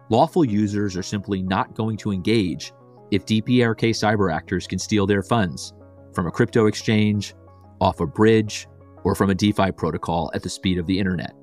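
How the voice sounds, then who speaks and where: Low-voiced male podcast host. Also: darkish tone. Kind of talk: scripted intro then casual interview chat.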